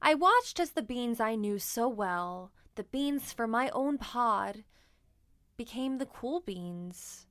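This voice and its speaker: Female storybook narrator, fairly high voice, unhurried, playful dramatic emphasis, dry close sound. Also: expressive, a bit bright, no echo. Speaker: children's book read-aloud narrator.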